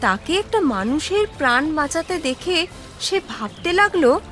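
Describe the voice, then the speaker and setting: High, animated woman telling children's stories. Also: a little slow, soft background music. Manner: expressive, addressing children. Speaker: storyteller for children. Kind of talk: scripted children's story narration.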